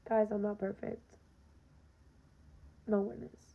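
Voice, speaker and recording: Raspy young woman doing a podcast monologue, earnest and direct, lotta "um" and "you know", kinda flat, dull lo-fi sound. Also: mid-range voice, takes it slowly. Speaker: podcast host. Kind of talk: casual personal monologue. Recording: dull lo-fi recording.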